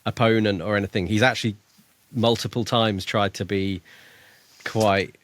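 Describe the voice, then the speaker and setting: A man with a fairly low voice co-hosts a casual book-discussion podcast. He speaks in a relaxed way, laughs easily and asks his co-host questions. The recording is dry, with no room sound. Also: moderate pace, dry recording.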